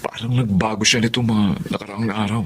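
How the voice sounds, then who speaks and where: An actor playing a bewildered, devoted young boyfriend, earnest and troubled, in a radio drama. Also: male voice, on the low side, level tone, a little fast, noisy background, lightly acted. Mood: worried.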